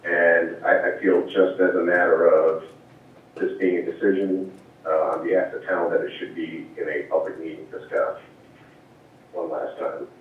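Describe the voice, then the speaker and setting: A man's voice: sits low, little pitch movement, moderate pace, slight background noise. A man on the board, calling in to a meeting, asking careful questions with hesitant restarts. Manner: careful, hesitant, repeats words. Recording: echoey, distant, phone-like line.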